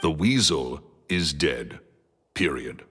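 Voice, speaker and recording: An actor playing a gruff, impatient, hard-nosed middle-aged former magician in a video game, brusque and scolding. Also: male voice, a bass voice, lively intonation, slow, fairly bright tone, heavily acted. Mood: irritated, stern. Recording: long reverb, music underneath. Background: music.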